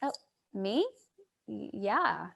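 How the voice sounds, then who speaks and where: A woman's voice: medium pitch, very animated, extremely slow, gravelly, breathy edge, exaggerated voice. An actress playing a thoughtful, hesitant, searching woman in a staged play reading over a video call. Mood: curious, hesitant.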